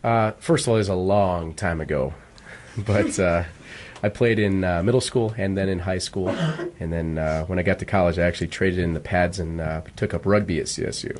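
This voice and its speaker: A male American coach with a very low voice, answering questions in a casual radio interview. He sounds modest and polite, with faint noise behind him. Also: brisk, a little mellow. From Michigan, United States. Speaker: football coach, interview guest. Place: radio studio. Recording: clear background noise.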